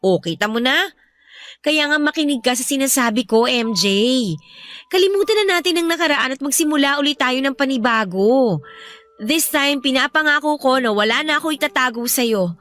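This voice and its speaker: An actress playing an evasive young lover seeking forgiveness, speaking with a Filipino accent in a coaxing, apologetic way in a radio drama. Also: female voice, high voice, lively intonation, normal speed, fairly bright tone, faint music behind. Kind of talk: radio drama acting in Filipino love story.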